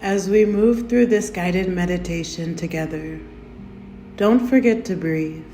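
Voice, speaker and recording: A woman guides a meditation at a very slow, soothing pace, her voice mellow and warm. The sound is roomy and echoey, with faint soft music behind her. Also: fairly low pitch. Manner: gentle, soothing and unhurried, with soft invitations.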